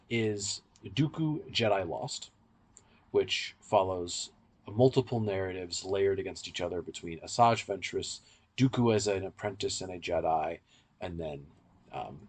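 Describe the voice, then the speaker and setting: A man's voice: fairly low pitch, a little mellow, slightly rough. A man hosting a podcast, explaining things at length in a conversational discussion.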